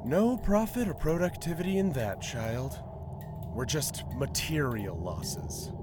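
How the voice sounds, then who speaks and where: An actor playing a cynical, sardonic survivor who works as a tunnel guide in a science fiction audio drama. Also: male voice, a little high, slow pace, noisy background. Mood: cynical, weary. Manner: sardonic, animated. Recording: music under the voice. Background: music.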